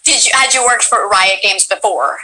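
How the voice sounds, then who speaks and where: A woman voice actress hosting a casual chat, effusive and gushing, with a fairly deep voice for a woman. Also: normal speed, sharp tone, slight background noise, rough recording. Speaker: voice actress hosting a stream interview.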